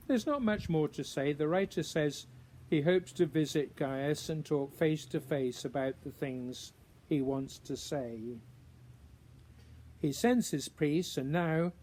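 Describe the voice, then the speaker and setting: Male poet for a spoken reading: unhurried, musing delivery with pauses, slightly high voice, some background noise. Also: darkish tone. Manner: deliberate, pausing between short phrases, musing questions. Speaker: writer reading own poem. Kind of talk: introduced poetry reading, measured recitation.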